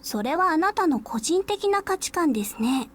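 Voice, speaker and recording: A woman playing a cheerful, eccentric, teasing high school girl in a Japanese visual novel, speaking politely. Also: lively intonation, fast, a bit bright. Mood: calm, unsettling.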